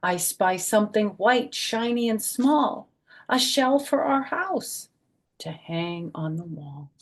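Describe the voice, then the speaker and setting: Older woman, expressive storytime reader. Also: medium pitch, takes it slowly. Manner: expressive, sing-song reading with soft, gentle asides. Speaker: storyteller, children's author and former schoolteacher. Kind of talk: children's read-aloud storytime with chatty asides.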